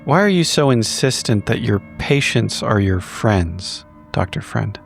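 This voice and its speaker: A man's voice: fairly low pitch, expressive, a little slow, vocal fry, faint music behind. A man playing a blunt, defiant patient in a horror role-playing game, speaking in a curt, sardonic and pointed way. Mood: pointed, challenging. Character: defiant, blunt male patient challenging his doctor. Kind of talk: improvised horror role-play.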